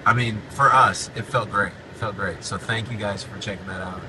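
Forties male host, low-pitched, easygoing and promotional, recorded on a phone a bit off-mic in a noisy car. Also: moderate pace. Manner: relaxed, upbeat, promotional. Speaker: podcast host and band frontman. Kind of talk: casual chat. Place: in a moving car. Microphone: phone at arm's length. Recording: loud road noise, slightly distant phone mic. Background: road and engine noise.

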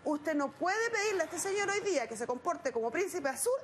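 A woman's voice: extremely high pitch, big pitch swings, fairly quick, a little hiss or hum. A Chilean woman in her thirties acting as a TV show judge, lecturing bluntly with rhetorical questions in a studio. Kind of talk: stern courtroom lecturing. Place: TV studio set.

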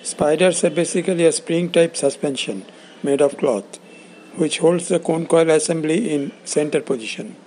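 A man giving a scripted instructional narration in a measured, explanatory way, in short phrases. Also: fairly high pitch, darkish tone, slight background noise, low-quality recording. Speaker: instructor presenting components.

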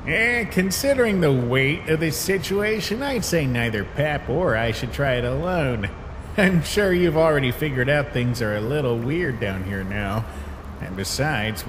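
A man's voice: medium pitch, animated, normal speed, background noise, natural voice. A man voicing an earnest, protective, emotional skeleton monster in a dramatic comic dub. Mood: wry, easygoing.

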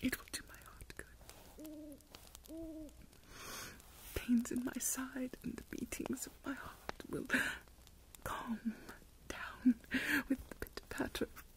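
A woman acts out a fretful older Regency matriarch in an ASMR drama. She whispers slowly and close up, in a high, breathy voice. Her manner is melodramatic and self-pitying. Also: flat delivery, a little mellow, low-quality recording. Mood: hopeful, excited. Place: indoors, lying in bed.

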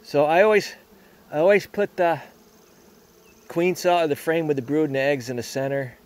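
A man's voice: a little high, fairly even intonation, moderate pace, darkish tone, slight background noise. An older man beekeeper chatting casually outdoors while working his hives, rambling with frequent restarts. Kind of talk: casual hands-on demonstration. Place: outdoors among beehives in a yard.

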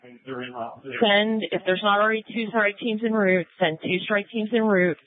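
A woman's voice: mid-range voice, unhurried, dry recording. An American woman coordinating units over police radio, directive and procedural. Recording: narrow, tinny police scanner radio.

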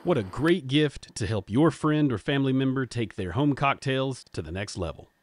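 A man, narrating his woodworking projects in a cheerful, scripted way. His voice sits at an ordinary pitch for a man. The sound is close and dry, with hardly any room echo. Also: expressive, moderate pace. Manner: upbeat, jokey how-to delivery. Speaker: woodworking channel host. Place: home woodworking shop.

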